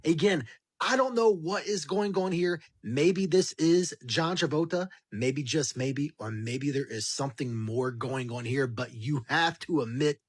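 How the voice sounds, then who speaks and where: Male commentator, rough-edged voice in a dry space, casual, questioning, circling back on his points. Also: on the high side, measured pace, dry recording. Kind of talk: casual speculative video commentary.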